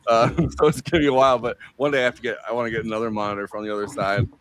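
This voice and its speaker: A man's voice: mid-range voice, flat delivery, very fast, a bit bright, a little hiss or hum, poor audio. A man co-hosting a casual livestream, jokey and rambling, slipping into silly voices. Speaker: stream co-host.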